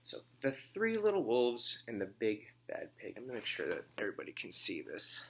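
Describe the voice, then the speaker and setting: A man reading a story aloud as a teacher, phrasing it deliberately and simply for children and pausing to ask comprehension questions. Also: mid-range voice, wildly swooping pitch, slight background noise.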